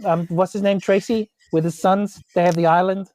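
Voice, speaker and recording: A man, improvising casual role-play in a halting, politely apologetic way. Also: high-pitched, flat delivery, a little mellow, no room sound. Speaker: tabletop game player.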